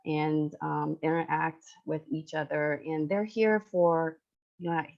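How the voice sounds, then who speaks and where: A woman's voice: low voice, steady tone, slow, gravelly. A woman from Taiwan with a Taiwanese accent, native in Chinese, speaking hesitantly in an informal online discussion among educators over dull call audio. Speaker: online teacher-training participant and educator. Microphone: online video call.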